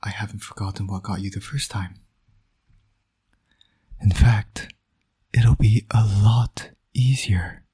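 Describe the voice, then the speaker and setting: A man playing a flirtatious villain and doting father in romantic roleplay audio, speaking in a smug, teasing, intimate way with a breathy, often near-whispered voice. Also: fairly low pitch, steady tone, takes it slowly, long pauses, warm tone, heavily acted. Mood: seductive, smug.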